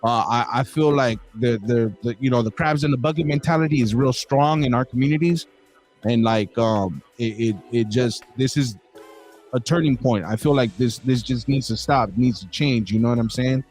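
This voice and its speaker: A man's voice: medium pitch, moderate pace, a little mellow, soft background music. A man hosting a casual podcast interview, rambling with many restarts and calling his guest bro. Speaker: podcast host.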